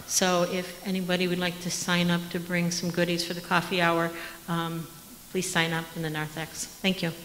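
American woman, church announcer, informal delivery, lower voice, echoey hall with faint noise. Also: little pitch movement, normal speed, fairly bright tone. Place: large church sanctuary.

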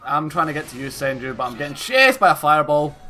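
Brief: young male streamer, excitable and profane, slightly hoarse, headset mic, music bed. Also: a little high, quick pace. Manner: excitable, swearing, sings snatches. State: losing his voice. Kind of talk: casual gaming chat.

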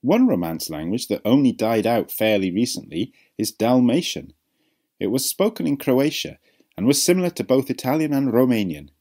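A man's voice: medium pitch, expressive, moderate pace, warm tone. A man reading scripted narration for an educational video about language, as its narrator.

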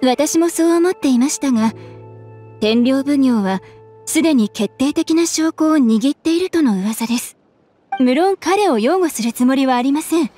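An actress playing a calm, polite, composed young townswoman in a video game. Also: sits high, normal speed, faint music behind.

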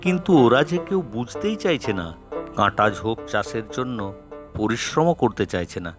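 Male actor as earnest village man, mid pitch, cartoon dialogue, expressive, faint music. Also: normal speed, close to an everyday voice. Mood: earnest, frustrated. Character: earnest, helpful village man promoting a new crop. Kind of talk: Bengali cartoon voice acting.

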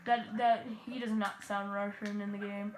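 A woman giving rambling, casual commentary while playing a video game, with excitable bursts. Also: mid-range voice, moderate pace, a little hiss or hum. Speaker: let's play gamer. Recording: roomy echo with game music.

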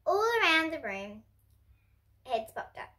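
A young girl of about ten to twelve reading a children's storybook aloud in an expressive way. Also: sits high, measured pace. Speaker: host reading picture books for kids. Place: indoor room in front of bookshelves.

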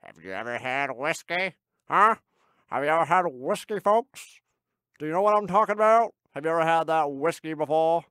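A man plays a pushy pitchman hammering at his audience about whiskey. The voice is lively and insistent, recorded dry and close. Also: moderate pace, smooth, no room sound. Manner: insistent, repetitive, rising. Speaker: voice actor.

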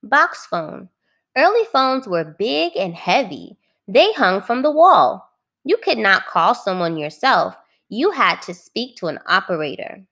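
Female primary teacher for a children's read-aloud: mid-pitched, very animated intonation, clear instructive phrasing. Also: unhurried, highs cut off. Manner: clearly phrased, instructive, addressing young listeners.

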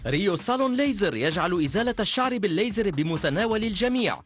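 A man doing a dubbed infomercial voice-over, delivering a fast, lively sales pitch. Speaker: advertisement voice-over.

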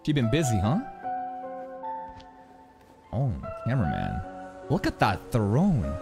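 A man's voice: mid-range voice, huge pitch swings, slow pace, vocal fry. A young man giving casual live reaction commentary, exclamatory and swearing freely, on a close microphone. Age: young adult. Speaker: streamer reacting to a game trailer. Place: small room indoors. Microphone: close microphone on a boom arm. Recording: music from the trailer behind. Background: music.

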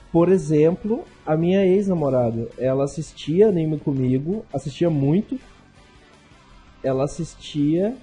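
A man's voice: fairly high pitch, warm tone, breathy edge. A young man co-hosting a casual podcast, talking emphatically and repeating himself. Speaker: podcast co-host.

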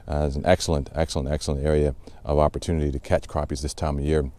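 Deep, dark-voiced fishing host. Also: male voice, fast. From Southwest Ohio. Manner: relaxed, repeats words, many "uh" fillers. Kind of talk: casual how-to narration while fishing. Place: outdoors on a boat on a lake.

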